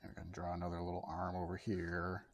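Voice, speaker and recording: Man, Canadian accent, art teacher, low-ish voice, casual, thinking aloud. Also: fast, a little hiss or hum. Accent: Canadian English. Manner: relaxed, thinks aloud with fillers while drawing. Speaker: art educator. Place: home studio, overhead camera on a table.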